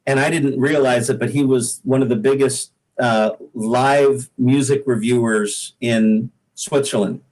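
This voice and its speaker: A man's voice: mid-range voice, fairly even intonation, a little slow, few pauses, darkish tone, low-quality recording. An American man, a singer-songwriter guest, telling casual, rambling, self-deprecating stories in an interview. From Pacific Northwest, United States.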